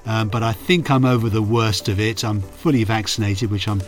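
A middle-aged man with a croaky, hoarse voice, a radio reporter narrating his memories in a friendly, chatty way. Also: fairly low pitch, steady tone, moderate pace, faint music behind. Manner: friendly, chatty, self-deprecating. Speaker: radio reporter and podcast host. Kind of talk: reflective storytelling narration.

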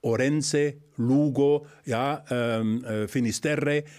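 A man's voice: mid-range voice, fairly even intonation, slow, a little mellow. An older man explaining history in conversation, hesitant with many fillers, in a lecturing way. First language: Spanish-fluent German speaker. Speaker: expert guest.

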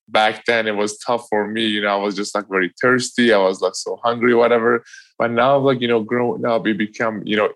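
A young man with a Turkish accent talking casually and earnestly, leaning on "you know" between thoughts. His voice sits on the low side, and the recording is dry and close. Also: no room sound, low-quality recording. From Turkey. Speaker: guest, professional athlete.

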